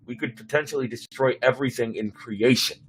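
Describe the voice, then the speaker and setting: A man playing a grim, cautious starship commander in a sci-fi dramatic reading, serious and urgent, with a husky voice. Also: mid-range voice, a little fast, lightly acted, rough recording.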